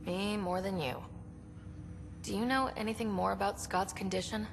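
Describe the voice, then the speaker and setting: A woman's voice: medium pitch, steady tone, normal speed, close to an everyday voice. An actress playing a young female explorer in a video game, casual, wry and direct. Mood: curious, wry. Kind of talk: scripted video game dialogue. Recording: reverberant space, clear background noise.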